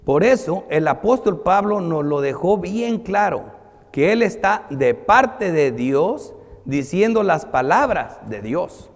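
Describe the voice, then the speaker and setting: Guy pastor preaching bible verses, pretty high voice, emphatic then quieter, big echoey church hall. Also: animated, slow. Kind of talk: sermon, reading and explaining Bible verses. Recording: long hall reverb.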